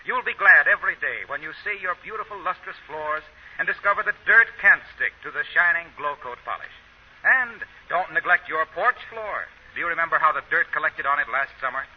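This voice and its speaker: High-pitched male vintage radio announcer. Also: animated, brisk, muffled recording. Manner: polished, salesmanlike. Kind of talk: 1930s radio advertisement reading. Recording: noisy, band-limited 1930s radio recording.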